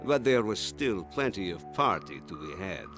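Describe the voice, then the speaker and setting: A man's voice: sits low, sing-song, moderate pace, fairly bright tone, faint music behind, full character voice. A man playing a battle-hardened Soviet tank commander in a war video game, with a dramatic, wry delivery. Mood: wry, resolute. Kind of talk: scripted war-game narration and battle shouts.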